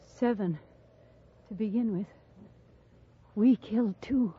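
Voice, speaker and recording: A woman acting a frightened, shaken frontier survivor in a 1950s radio western, speaking in tense, halting, plain phrases. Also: medium pitch, husky. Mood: shaken, bleak. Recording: muffled, faintly noisy old radio recording.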